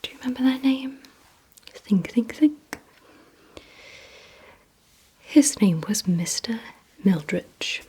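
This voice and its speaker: A woman doing an ASMR roleplay, mostly in a breathy whisper, giving gently commanding instructions in a deliberate manner. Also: on the high side, lively intonation. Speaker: ASMR creator playing a vampire giving memory and attention tests.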